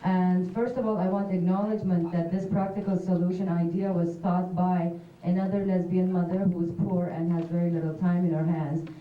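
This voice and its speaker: A woman speaks earnestly and directly from a stage microphone in a theatre. Her voice is heard from a distance through a dull, muffled tape recording. A roomy echo hangs behind her words. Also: lowish voice. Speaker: festival audience speaker. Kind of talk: impassioned public address.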